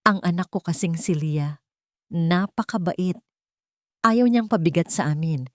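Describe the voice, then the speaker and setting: Lady doing greedy older mother-in-law in a love drama, fake sweet, lowish voice. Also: a little slow, no echo, clean studio sound, lightly acted. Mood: fake concern. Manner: feigned concern, ingratiating.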